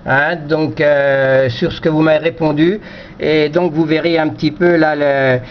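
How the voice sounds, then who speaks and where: A man's voice: on the high side, fairly even intonation, a little slow. A man chatting casually over amateur radio, hesitant and often repeating his words. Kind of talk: casual two-way radio chat. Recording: dull lo-fi audio with slight noise.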